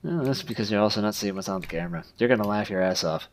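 A man's voice: on the low side, racing, a little hiss or hum. A man hosting a casual let's-play, bantering with mock exasperation while he plays. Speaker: gaming video host. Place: indoors at a computer in a dim room.

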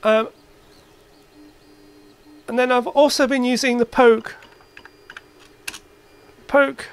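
A man's voice: very high voice, steady tone, slow, clear, resonant tone. A man giving a casual, hands-on demonstration as an electronics hobbyist in his workshop. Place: cluttered indoor electronics workshop.

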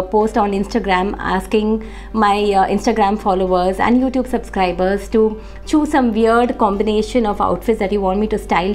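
A woman, hoarse from a cold, chatting to camera in a casual fashion vlog with many fillers. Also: mid-range voice, darkish tone. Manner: chatty, friendly, with frequent uh fillers. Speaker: fashion vlogger. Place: indoor room, seated facing camera.

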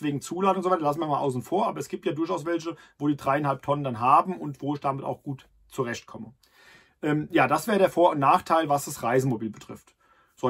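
A man's voice: fairly high pitch, fairly bright tone, husky. A man in his thirties, host of a camping channel, talking casually to the camera from inside a camper, with many fillers and restarts.

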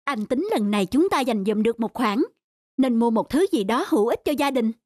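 A man's voice: very high voice, lively intonation, brisk, darkish tone, no room sound, studio quality. A man voicing a stubborn young working husband in a cartoon, arguing his side persuasively. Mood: eager. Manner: insistent, persuasive. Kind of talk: animated cartoon voice acting.

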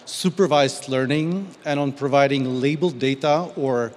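A man in his forties, speaking in a measured, articulate way on a discussion panel into a handheld mic. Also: mid-range voice. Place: large glass-walled hall. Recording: long reverb of a large hall.

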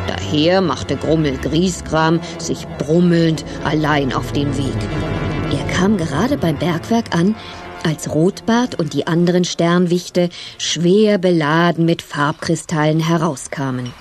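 A woman's voice: low-pitched, takes it slowly, over music. A woman narrator reading a scripted children's story.

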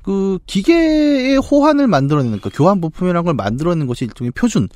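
Man, quick, explaining at length, lively pitch, dry close studio mic. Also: no echo. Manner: quick, explaining, self-correcting. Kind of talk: explanatory talk show discussion. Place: small radio studio. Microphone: studio mic with headphones.

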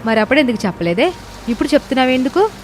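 A woman's voice: high-pitched, big pitch swings, rapid-fire, darkish tone, a little hiss or hum. A woman playing a dutiful, easily hurt young daughter-in-law in a cartoon moral story, plaintive and respectful. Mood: sulky.

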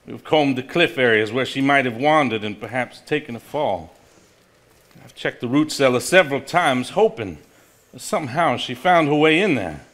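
A man playing an earnest brother in a live stage play, speaking in a deliberate, declamatory way. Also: medium pitch, animated, fairly bright tone, natural voice. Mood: grave reading. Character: earnest adult brother reading an old journal.

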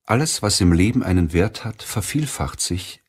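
A man reading a self-help audiobook as narrator, in measured, deliberate phrasing. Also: low-pitched, expressive, husky.

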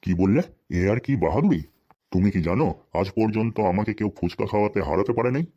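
A man's voice: lowish voice, lively intonation, quick pace, warm tone, dull audio. A man voicing a sly, penny-pinching, henpecked husband in a comedy cartoon, speaking a rural western Bengal dialect with folksy, wry asides. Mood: boastful. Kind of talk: comic cartoon dialogue.

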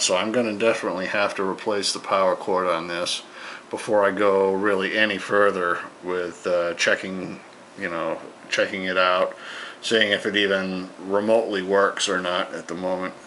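A man casually narrating his hands-on work, halting as he thinks aloud, recorded on a handheld camera indoors. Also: sits low, fairly bright tone, slight background noise, rough recording. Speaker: hobbyist radio repairer presenting his own video. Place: indoors at a wooden table in a home. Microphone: handheld camera, held near the work.

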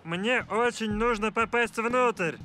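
Суматошного парня-болельщика с резким высоким голосом. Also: male voice, sits high, takes it slowly, bright, forceful tone, soft background music, full character voice. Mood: pleading. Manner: urgent, exclamatory. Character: frantic, pleading young male football fan. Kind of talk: animated cartoon dubbing.

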